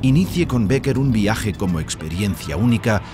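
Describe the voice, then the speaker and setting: A man narrates scripted promotional copy. His voice is on the low side, set over clearly heard music. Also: expressive, normal speed.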